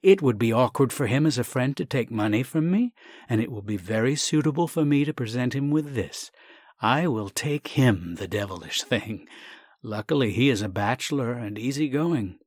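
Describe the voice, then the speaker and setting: A man's voice: medium pitch, lively intonation, moderate pace, a little mellow, dry recording, clean studio sound, close to an everyday voice. A man voicing a hesitant, embarrassed, proper family-man doctor in a comic story, fussy and hemming and hawing. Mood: scheming, hearty. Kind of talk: acted reading of a comic short story.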